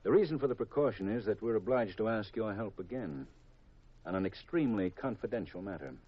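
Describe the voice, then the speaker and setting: An actor with an American accent playing a formal, guarded counterintelligence colonel in 1950s radio drama style, measured and grave. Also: male voice, fairly low pitch, animated, husky. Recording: dull old radio recording.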